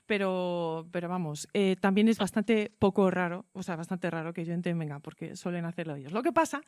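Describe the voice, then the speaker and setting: A woman's voice: lowish voice, a little fast, a little mellow, no echo, clean studio sound. A woman with an accent from Spain, speaking informally and a bit hesitantly as a teacher hosting a radio interview. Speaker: teacher and radio workshop host. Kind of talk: informal spoken introduction and interview.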